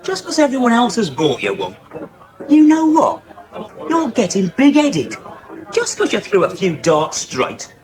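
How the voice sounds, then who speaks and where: An actor playing a brash, cocky young farmer, gloating and needling in a naturalistic radio drama. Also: male voice, extremely high pitch, huge pitch swings, a little slow, full character voice. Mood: teasing. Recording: pub chatter behind. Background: pub crowd.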